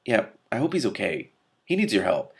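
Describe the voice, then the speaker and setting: A man's voice: on the low side, wildly swooping pitch, normal speed. A man reading the part of a nervous, apologetic failed wizard in a fantasy novel, vague and evasive.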